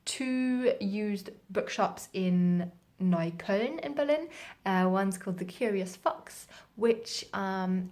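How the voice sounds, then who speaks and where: A woman's voice: mid-range voice, darkish tone. A woman chatting casually as a book vlogger, in an informal, self-deprecating way. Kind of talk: casual chatty reading vlog.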